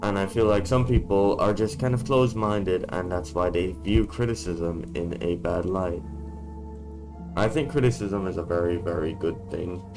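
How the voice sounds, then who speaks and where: A man with a very low voice talks straight to camera, blunt and given to casual swearing. His voice sounds worn from being sick, and music plays clearly behind him. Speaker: YouTuber. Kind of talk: casual talking-head vlog. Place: dark room indoors.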